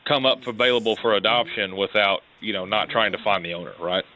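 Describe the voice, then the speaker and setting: A man working as a police detective, informally questioning someone on a call in a halting, probing way. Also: on the low side, bright, forceful tone, dull audio, slight background noise.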